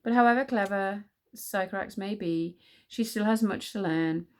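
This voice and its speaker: A wry enchanter king from a storybook, read by a woman. He is composed and sardonic, musing calmly and unhurried by threats. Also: medium pitch, natural voice. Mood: calm defiance.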